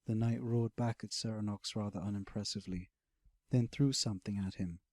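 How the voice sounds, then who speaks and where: A man's voice: lowish voice, fairly even intonation, fairly quick, darkish tone, no echo. Man narrating fantasy, dry close sound. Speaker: audiobook narrator.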